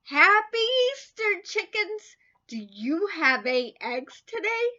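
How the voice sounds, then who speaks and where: A woman's voice: extremely high pitch, sing-song, takes it slowly, pushed and bright, lo-fi sound, pitched above the performer's own voice, heavily acted. A woman voicing an eager, friendly cat boy from a picture book. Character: cheerful cat boy helping at Easter.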